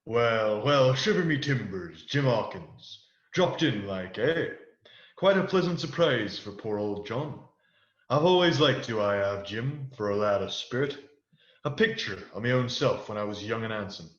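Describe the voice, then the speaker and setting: A man's voice: medium pitch, lively intonation, measured pace, close to an everyday voice. A man playing a sly, menacing pirate ringleader with false politeness and folksy seadog talk in a radio play. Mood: wily, jovial. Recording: echoey, dull, faintly noisy home recording.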